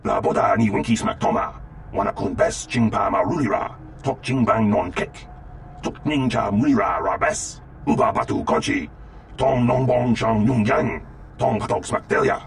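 Low, gravelly alien. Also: male voice, normal speed. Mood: gruff chatter. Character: alien speaking an invented galactic tongue. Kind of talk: scripted video game creature dialogue. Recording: music behind the voice.

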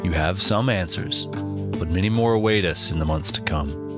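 A man hosting a dark fantasy audio drama, reading a scripted outro. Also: low-pitched, normal speed, music in the background. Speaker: host giving outro and credits.

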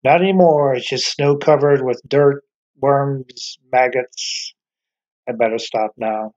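A man's voice: medium pitch, slow pace, darkish tone, highs cut off. A middle-aged man narrating his walk around an abandoned motel, chatty and enthusiastic, talking to a handheld camera outdoors in the snow. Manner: chatty, enthusiastic, with wandering asides. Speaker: urban explorer vlogger. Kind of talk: casual walking narration. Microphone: handheld camera at arm's length.

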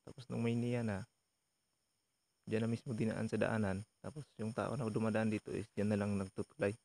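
A man's voice: on the low side, little pitch movement, a little fast, gravelly, darkish tone. A young man giving casual commentary as he explores outdoors at night for a vlog. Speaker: vlog host, ghost hunter. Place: outdoors at night around an abandoned building. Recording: noisy outdoor recording with insects.

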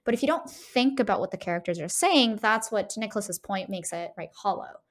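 A woman's voice: mid-range voice, lively intonation, brisk. A woman co-hosting a podcast, explaining her points casually and emphatically, often checking in with short tag questions. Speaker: podcast co-host. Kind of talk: casual conversational game discussion.

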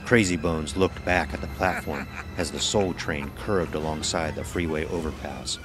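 A man's voice: a bass voice, normal speed, over music. A man narrating a scripted horror story in a literary, dramatic style. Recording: noisy ambient sound bed.

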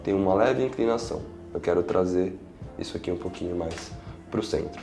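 Casting: young Brazilian male chiropractor, low gravelly voice, reassuring, guiding a patient in a roomy space. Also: a little fast, dark tone. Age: young adult. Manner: reassuring, checking in often. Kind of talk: instructing a patient during treatment, explaining to camera. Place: small indoor treatment room with large windows. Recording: roomy echo, faint music behind.

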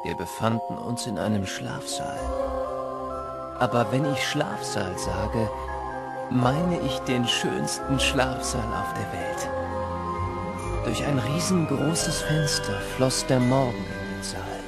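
A wonderstruck narrator telling a storybook tale, takes his time, music really loud behind him. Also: medium pitch, animated, slow pace, gravelly. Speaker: first-person narrator.

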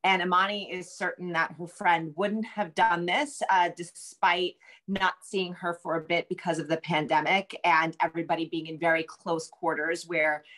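A woman's voice: lowish voice, flat delivery, normal speed, a bit bright. Woman author explaining in an interview. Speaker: guest author. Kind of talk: conversational interview answers. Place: home room with bookshelves, indoors. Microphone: video call.

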